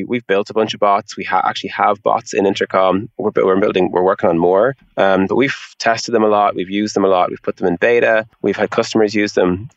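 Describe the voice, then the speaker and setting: A man's voice: low voice, dry recording, highs cut off. A man being interviewed, explaining things in an informal, conversational way with a lot of filler phrases like "you know". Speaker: interview guest, tech product executive.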